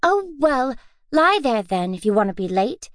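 A bustling, chattering young girl, as a woman narrator puts her on. Her high, raised voice darts up and down with excitement. The recording is close and dry. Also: no echo. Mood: excited, hurried. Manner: excitable, hurried. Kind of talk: audiobook character reading.

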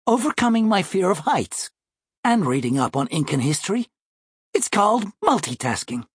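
A man voicing a smug, high-pitched schoolboy. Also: very animated, moderate pace, husky, dry recording, clean studio sound, heavily acted. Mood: breezy, self-assured. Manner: breezy, smug, wordy. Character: confident, pompous, precocious seventh-grade boy. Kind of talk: audiobook character voice.

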